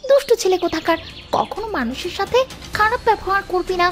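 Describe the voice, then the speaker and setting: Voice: extremely high pitch, expressive, fast, fairly bright tone. An actor voicing a stern, principled mother mouse scolding in a cartoon. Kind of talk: animated cartoon voice acting.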